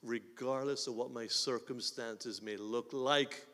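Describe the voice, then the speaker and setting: A man with a Guyanese accent preaching a sermon as pastor, swinging between quiet asides and loud emphatic bursts. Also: mid-range voice, steady tone, a little slow. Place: church sanctuary. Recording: long hall reverb.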